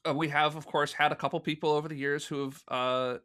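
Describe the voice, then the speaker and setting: A man's voice: a little high, level tone, fast talker, bright, forceful tone. A man speaking as an interview guest, explaining things in detail and with enthusiasm in a conversational way. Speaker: guest, fan audio drama producer.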